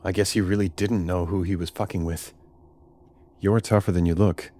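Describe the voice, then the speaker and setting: A man's voice: deep voice, fairly even intonation, normal speed, dark tone. A man playing a courteous, reassuring starship captain in a radio drama. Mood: steady, friendly.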